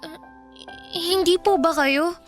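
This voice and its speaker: A young teenage girl in a radio drama, high-pitched and earnest. She is timid and respectful, with a polite, pleading manner, over faint music. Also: slow. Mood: pleading, dismayed. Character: timid, earnest orphaned girl of thirteen, respectful but questioning. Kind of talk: Filipino radio drama acting.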